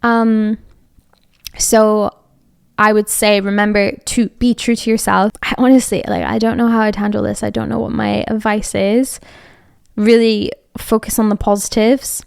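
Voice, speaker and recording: Young Scottish woman, podcast host, smooth clear voice, dry close sound, chatty and meandering. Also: mid-range voice, little pitch movement, normal speed, a little mellow, no room sound. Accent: Scottish, Edinburgh. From Scotland. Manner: chatty, rambling, many fillers and restarts. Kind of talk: casual advice chat.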